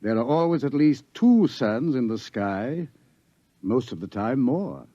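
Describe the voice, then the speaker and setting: A grave, ominous scientist. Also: male voice, medium pitch, very animated, warm tone, dry recording. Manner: measured, ominous lecturing. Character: grave older scientist warning of doom. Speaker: doctor. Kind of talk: 1950s radio acting. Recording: dull 1950s radio broadcast.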